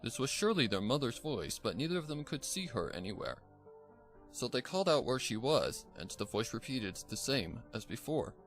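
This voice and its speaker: A man narrates a story from a script in a medium-pitched voice. Music plays behind his reading. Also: sing-song, moderate pace. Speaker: fan fiction narrator.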